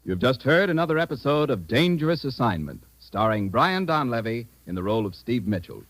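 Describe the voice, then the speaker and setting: Animated American old-time radio announcer, male. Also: medium pitch, brisk, no echo, low-quality recording. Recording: vintage radio recording with music.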